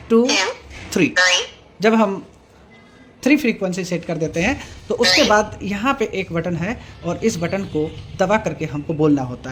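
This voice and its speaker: A man presenting a hands-on tech tutorial, explaining step by step in a halting, repetitive way. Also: high-pitched. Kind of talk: hands-on product demonstration. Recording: clearly noisy room recording with ambience.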